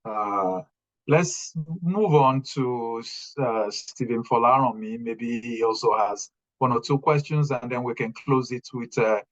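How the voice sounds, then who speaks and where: A man moderating an academic panel, thanking speakers and passing the floor, with lots of fillers, on a dull lo-fi recording. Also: medium pitch. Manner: filler-heavy, unhurried. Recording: dull lo-fi sound.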